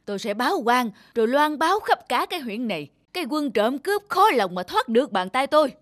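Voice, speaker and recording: A man's voice: very high voice, very animated, fairly quick, a bit bright, no room sound, clean studio sound, full character voice. A man voicing a stout, proud, blustering village landlord in a cartoon, with an emphatic, formal way of talking. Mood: agitated. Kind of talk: cartoon dialogue.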